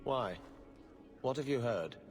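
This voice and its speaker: Game role: guarded, clipped official, very lively intonation, formal disapproval, long reverb of a great hall. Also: male voice, medium pitch, slow pace, faint music behind. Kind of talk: video game acting.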